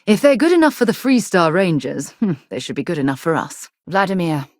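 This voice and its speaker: Low-voiced, earnest woman space explorer. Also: sing-song, brisk, no echo, clean studio sound. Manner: earnest, thoughtful, dryly wry. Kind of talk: video game voice acting.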